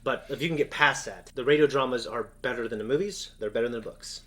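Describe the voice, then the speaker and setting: Guy rambling away excitedly in a fan chat, talks really quick. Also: mid-range voice. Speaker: co-host of a reaction show. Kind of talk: casual fan discussion.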